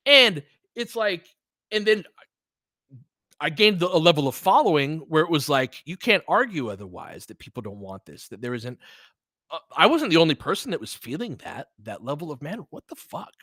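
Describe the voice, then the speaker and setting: A man's voice: high voice, sharp tone, no room sound. Casual, profane man chatting unscripted, dry sound. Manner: earnest, rambling, freely profane. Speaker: podcast host and sports play-by-play streamer. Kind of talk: unscripted casual chat with listeners.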